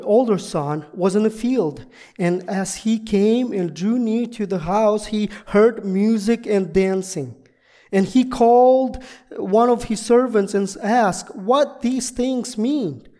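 A guest preacher whose first language is Russian, giving a sermon in halting, deliberate second-language speech. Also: mid-range voice, mellow. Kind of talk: sermon with prayer and scripture reading.

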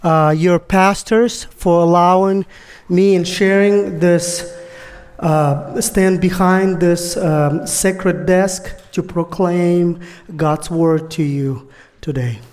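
A preacher and native Russian speaker delivers a sermon at a slow pace. The voice sits in a medium register. The delivery is deliberate and somewhat halting, as a second-language speaker praying and reading scripture. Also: mid-range voice, fairly even intonation, a little mellow, clear, resonant tone. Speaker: guest preacher.

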